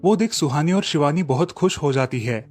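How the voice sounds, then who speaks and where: Higher-voiced man narrating, soft music behind. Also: expressive, fairly quick. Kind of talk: scripted storybook narration for cartoon.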